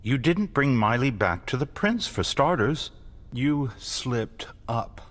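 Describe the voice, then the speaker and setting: A man's voice: medium pitch, animated, slow, a bit bright. A man playing a grave, controlling vampire caretaker in a dramatic game cutscene, explaining things with solemn insistence. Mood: stern, insistent. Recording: faint music behind the voice.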